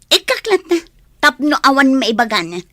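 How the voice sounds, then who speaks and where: A woman's voice: high-pitched, very animated, normal speed, pushed and bright, full character voice. A woman with an Ilocano accent acting an exasperated, outspoken young man's partner in a radio drama, with a complaining, sighing delivery. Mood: resentful, complaining.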